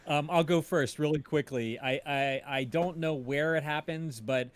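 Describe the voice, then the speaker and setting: A man talking casually as a podcast creator on a remote panel, searching for his words in a halting way. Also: fairly high pitch, slight background noise. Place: remote video call.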